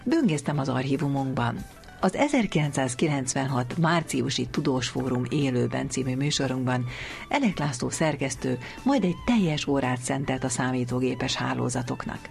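A woman's voice: rumbling low, animated, vocal fry, darkish tone. A woman hosting a radio programme, reading scripted narration. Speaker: radio host. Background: music behind the voice.

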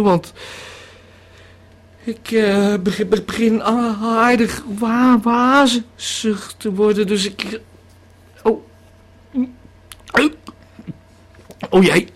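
A man's voice: high-pitched, fairly even intonation, slow. A man playing a rambling male tourist who is tripping on a pill, stammering and repeating his words in a radio drama monologue. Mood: dazed, alarmed.

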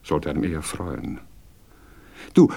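A sentimental Viennese gentleman in a radio play, with a low and husky voice. He is hungover and awkward, questioning and musing to himself in widely swinging tones. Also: very animated, a little slow, heavily acted. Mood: musing, rueful. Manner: hesitant, inquisitive, rambling to himself. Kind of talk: naturalistic radio play acting.

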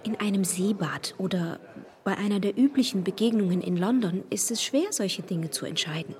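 An actress playing a reserved young lady who answers softly, evasively and with guarded politeness. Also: medium pitch, normal speed, close to an everyday voice.

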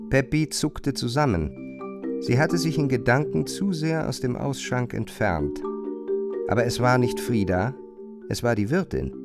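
A man narrating a literary radio play in a measured, precise way. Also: medium pitch, animated, loud music behind the voice.

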